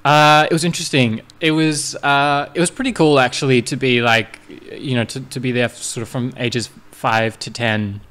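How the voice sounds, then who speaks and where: A man's voice: medium pitch, fairly even intonation, normal speed, hardly any pauses, a bit bright. A young man from New Zealand, a musician guest talking casually and rambling, with many fillers and restarts. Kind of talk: casual interview chat.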